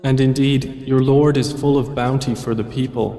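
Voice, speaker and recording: A man reading a scripture translation in a calm, measured voice, pausing between phrases. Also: mid-range voice. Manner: calm, even delivery with pauses between phrases. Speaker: translation narrator. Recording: echoey hall with reverb.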